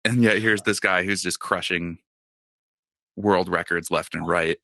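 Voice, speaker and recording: A man's voice: sits low, fairly even intonation, unhurried, vocal fry, pushed and bright, no room sound, studio quality. A man co-hosting a podcast in casual chat, rambling with lots of fillers and restarts. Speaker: podcast co-host.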